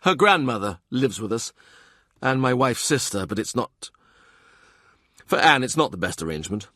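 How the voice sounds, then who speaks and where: Casting: male reader as a cardinal's shrewd aide and widowed father, gentle and understated, dry studio sound. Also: medium pitch, big pitch swings, moderate pace, no echo. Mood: reflective.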